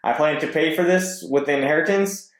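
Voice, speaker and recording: A man's voice: a little high, a little fast. A man guesting on a podcast, reading posts aloud and chatting casually with some hesitant stumbles. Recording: roomy echo.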